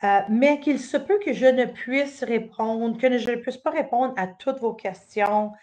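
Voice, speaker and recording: A woman's voice: on the high side, a little slow, darkish tone. A middle-aged woman from Northern Ontario, giving an unscripted live presentation as an education officer, with frequent fillers and restarts. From Northern Ontario, Canada. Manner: hesitant, many "euh" fillers and restarts. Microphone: webcam or computer mic on a live stream.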